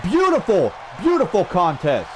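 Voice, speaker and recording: A man calling a video game wrestling match play by play, energetic with shouted exclamations and a strained throat. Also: sits high, a little slow, poor audio. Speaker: play-by-play commentator. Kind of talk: excited wrestling commentary over video game. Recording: loud game crowd and noise behind. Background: video game wrestling crowd.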